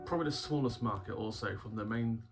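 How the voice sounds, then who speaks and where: A man's voice: fairly low pitch, fast. A man hosting a casual game-collecting vlog. He has a sore throat, a cough sweet in his mouth, and a rambling, wry manner. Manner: rambling, wry, chuckles often. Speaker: YouTube game collector host. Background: background guitar music.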